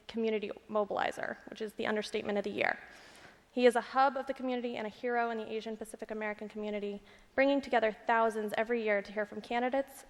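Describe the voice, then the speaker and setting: A woman reading a prepared tribute speech aloud at an awards ceremony, recorded in an echoing hall. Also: medium pitch. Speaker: staffer reading remarks on another's behalf. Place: large indoor event hall. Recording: echoey hall with long reverb.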